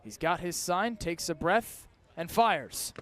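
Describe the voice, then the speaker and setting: A man's voice: sits high, lively intonation, slow, fairly bright tone. A man doing live radio baseball play-by-play outdoors at a ballpark, in clipped, descriptive calls that rise with the action. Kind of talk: live radio sports commentary.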